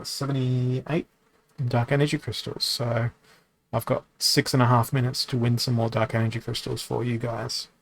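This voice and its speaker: A man live-streaming a card game, chatting casually with his viewers, rambling with frequent ums and restarts. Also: mid-range voice. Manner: reads and answers viewer chat.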